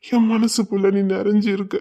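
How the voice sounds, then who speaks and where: A man acting a devoted young lover, husky and pleading, in a romantic drama. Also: sits high, little pitch movement, a little fast, dark tone, muffled recording. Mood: tender. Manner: tender, pleading, emotional. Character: devoted, tearful young village man in love.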